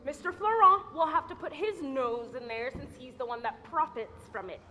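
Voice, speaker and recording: An actress playing a saucy, defiant maidservant who talks back cheekily and mockingly in a theatrical comedy style. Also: female voice, high voice, lively intonation, normal speed, sharp tone. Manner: cheeky, exclamatory back-talk. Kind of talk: theatrical comedy stage acting. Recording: echoey hall with faint noise.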